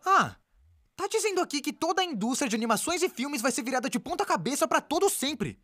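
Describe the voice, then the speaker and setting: Brazilian female narrator for comic explainer, high register, animated swings, playful and sarcastic. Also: quick pace, dry recording, studio quality. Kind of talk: scripted comedic narration, dubbed.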